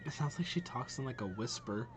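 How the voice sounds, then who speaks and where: A man hosting a reaction video with casual, impressed commentary, thinking out loud as he watches. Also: mid-range voice, huge pitch swings, a little fast, noisy background. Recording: game trailer music playing behind. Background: game trailer audio and music.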